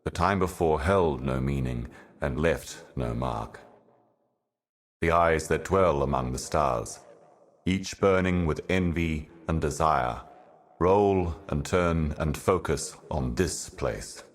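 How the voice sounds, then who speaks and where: An ancient cosmic envoy speaks in an exceptionally low, bottomless male register. The delivery is measured and grave, like a prophet reciting cryptic scripture for a dark fantasy game. Every phrase carries solemn, portentous weight. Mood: solemn, ominous. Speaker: video game character.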